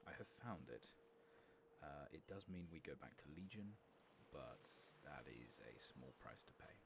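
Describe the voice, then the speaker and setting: Man, soft-spoken ASMR gamer, gravelly, swooping intonation, whispery, unhurried, rambling. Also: expressive, background noise. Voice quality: gravelly, breathy low voice with whispering. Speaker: streamer and host. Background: video game ambience.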